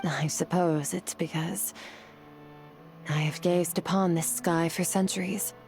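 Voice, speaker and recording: A woman voicing a solemn, ageless female warrior who speaks in a calm, measured, poetic way in video game dialogue. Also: lowish voice, soft background music, close to an everyday voice.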